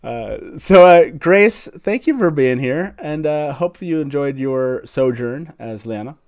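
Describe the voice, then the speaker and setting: A man's voice: on the high side, expressive, slow pace. Enthusiastic man hosting a livestream. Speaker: host of a fan livestream. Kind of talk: casual hosting chat. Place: live online video call.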